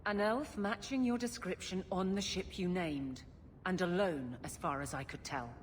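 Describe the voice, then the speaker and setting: An actress playing a stern, dutiful captain of the city guard in a video game, brisk and authoritative. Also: female voice, fairly low pitch, lightly acted. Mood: firm, businesslike. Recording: faint background noise.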